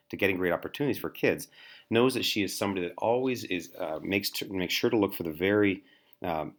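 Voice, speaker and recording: A man, a school administrator, giving an earnest presentation to parents, with frequent fillers and restarts. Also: deep voice.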